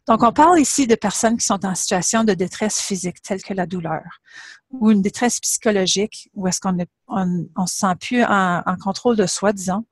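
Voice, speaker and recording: A woman's voice: mid-range voice, no room sound. A middle-aged woman, a university professor giving a webinar presentation and setting out her points in order. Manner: explanatory, measured, lays points out in order.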